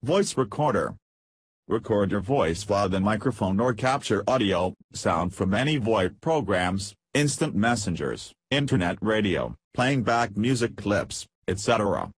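Guy w a lowish raspy voice reading a scripted software promo, very dry sound. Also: animated, measured pace, dry recording. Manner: reads feature lists in short headed segments. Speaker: narrator of a software demo.

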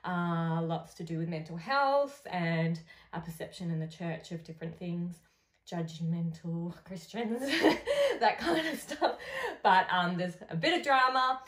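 A woman with an Australian accent chatting casually and playfully to camera as an author promoting her book, in an animated, informal way. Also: fairly low pitch, a little slow. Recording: echoey room sound.

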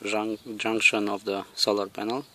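A man giving a casual, hands-on demonstration in a halting, deliberate way, recorded outdoors on a handheld camera. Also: fairly low pitch, little pitch movement, fairly bright tone, slight background noise. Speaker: vlogger demonstrating electronics. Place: outdoors on a lawn. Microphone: handheld camera or phone, held by the speaker.

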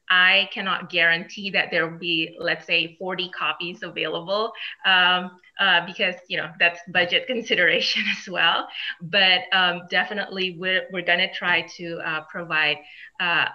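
A woman's voice: on the low side, pushed and bright. A woman answering questions over a video call at a board meeting, hesitant with many fillers. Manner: hesitant, many ums and uhs. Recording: dull video call audio.

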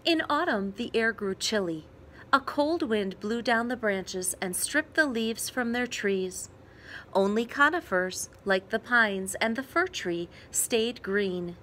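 A woman reads a children's story aloud, slowly and with wide melodic swings. Her voice sits a little high, and she leaves dramatic pauses for emphasis. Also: animated, a bit bright, a little hiss or hum. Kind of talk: children's picture book read-aloud.

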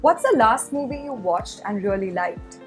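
A woman interviewer asking quick upbeat questions and giving encouraging replies. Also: a little high, animated, soft background music.

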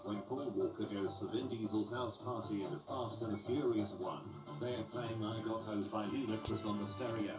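A man with a fairly low voice reads jokes aloud in a flat, mock-formal delivery. He sounds roomy and set back from the mic. Loud music plays underneath the whole time. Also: moderate pace, hardly any pauses, recorded from a distance. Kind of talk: reading memes aloud. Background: loud background music.

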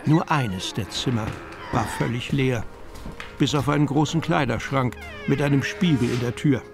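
A man's voice: medium pitch, moderate pace, creaky, natural voice. A man narrating a children's fantasy radio play as a storyteller. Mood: calm storytelling. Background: music.

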